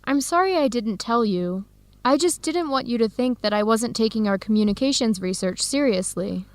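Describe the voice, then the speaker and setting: An earnest young student, higher-pitched voice. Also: female voice, lively intonation, moderate pace, no room sound. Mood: apologetic, earnest. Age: young adult. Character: Helen, young Ethiopian-American adoptee, eager, earnest student researcher. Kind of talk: radio drama dialogue for English learners.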